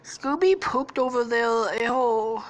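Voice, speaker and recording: A woman voicing a prissy young woman who whines and complains in drawn-out tones. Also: fairly high pitch, animated, pitched above the performer's own voice, low-quality recording. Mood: disgusted.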